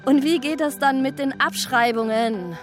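A woman's voice: high-pitched, normal speed, music in the background, lightly acted. An actress playing a scatterbrained, self-justifying woman in a naturalistic radio drama monologue, rambling and questioning herself as she goes. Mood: apologetic, digressing.

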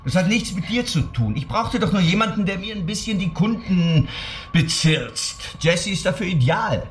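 A man's voice: high-pitched, expressive, moderate pace, a little hiss or hum. An actor playing a married male boss who coolly ends an affair, in a radio drama. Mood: dismissive. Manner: placating, cool, dismissive. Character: married male boss, smooth, dismissive ex-lover.

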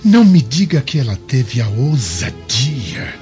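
Slow, pompous, haughty governor. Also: male voice, mid-range voice, very animated, darkish tone. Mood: indignant. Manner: pompous, theatrical. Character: haughty, lecherous Roman governor, easily offended. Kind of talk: radio drama acting. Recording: dull recording over music.

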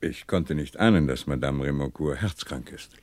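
An actor playing a composed, wry middle-aged police commissioner in a 1960s German radio crime play, polite and probing. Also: male voice, a bass voice, normal speed, a little mellow, no echo, studio quality. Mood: persistent.

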